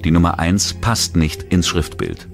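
A man narrating a true-crime documentary in a measured, scripted delivery. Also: deep voice, wildly swooping pitch, vocal fry. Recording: narration over loud music.

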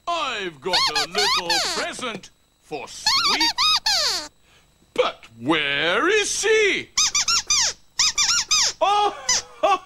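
A man playing a jolly old Father Christmas for a children's show, with hearty, drawn-out theatrical calls. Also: very high voice, heavy background noise, full character voice. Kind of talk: children's television pantomime-style acting.

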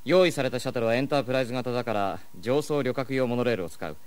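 Man playing a quick-talking, clever young detective. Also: medium pitch, darkish tone, dry recording, natural voice. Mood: briskly explaining. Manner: crisp, know-it-all, dry. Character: cool, clever young male detective, wry. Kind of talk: Japanese audio drama acting. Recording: noisy old cassette drama.

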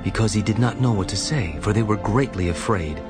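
A male narrator reads scripture from a script in a deep voice. Prominent music plays behind the narration. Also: brisk.

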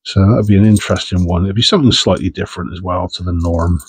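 Congested man, husky low voice. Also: steady tone, fast talker, dry recording. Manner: chatty, understated, self-deprecating. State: congested with a cold, sniffing. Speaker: coin-hunting channel host. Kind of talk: casual vlog commentary while sorting coins.

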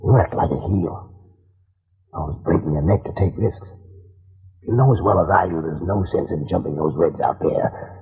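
An actor playing a blunt, gruff, wary army corporal in an old-time radio war drama. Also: male voice, sits low, moderate pace, pauses often. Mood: bitter, indignant. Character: wary, indignant male army corporal in combat. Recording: muffled, phone-like old radio recording.

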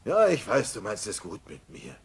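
An actor playing a bumbling, hot-headed middle-aged cartoon father with a gravelly voice and blustering, emphatic delivery. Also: on the low side, huge pitch swings, moderate pace, full character voice. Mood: earnest, dissatisfied. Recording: noisy old cassette dub.

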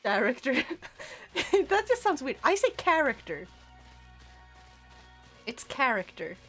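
A woman's voice: sits high, very animated, slow pace, a bit bright, faint music behind. A young woman from Sweden with a Swedish accent mixed with British touches, chatting casually while streaming a game and often laughing. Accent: Swedish with British, Scottish and Irish touches. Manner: giggly, often breaks into laughter. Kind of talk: casual live-stream chat while gaming, reading chat aloud.

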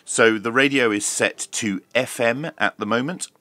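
A man's voice: mid-range voice, moderate pace, bright, forceful tone, no echo, faint music behind. A man casually narrating a hands-on product unboxing and review in an informal, running commentary. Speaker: host of a radio review channel.

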